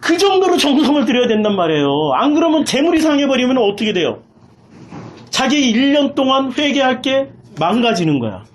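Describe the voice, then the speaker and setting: Animated, emphatic preacher delivering a sermon. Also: high-pitched, a little fast. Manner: emphatic, repeats phrases, raises voice. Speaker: pastor preaching a Sunday sermon. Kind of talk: impassioned sermon with rhetorical questions.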